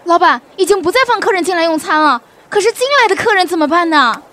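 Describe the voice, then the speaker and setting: An actress as an officious young hotel employee in a radio drama. She is a woman with a markedly high voice. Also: expressive, fast, bright, forceful tone, slight background noise, exaggerated voice. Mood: worried. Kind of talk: Mandarin audio drama acting.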